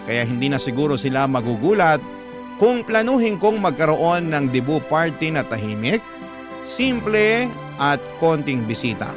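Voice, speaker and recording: Lalaking Pilipinong tagakuwento, medyo mataas ang boses. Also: male voice, on the high side, big pitch swings, moderate pace. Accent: Filipino. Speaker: radio storyteller, host. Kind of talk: emotional scripted storytelling, classic radio. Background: music.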